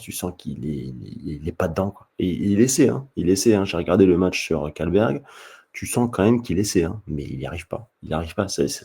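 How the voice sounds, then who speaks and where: A man who hosts his own channel, giving casual spoken commentary straight to the viewer, often halting and restarting mid-sentence. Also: low voice, warm tone, slightly rough. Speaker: table tennis coach and channel host.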